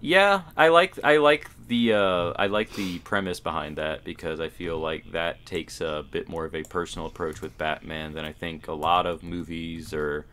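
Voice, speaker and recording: A man's voice: deep voice, normal speed, hardly any pauses, fairly bright tone. A man co-hosting a podcast in casual chat, laid-back and colloquial, often hedging what he says. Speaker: podcast co-host.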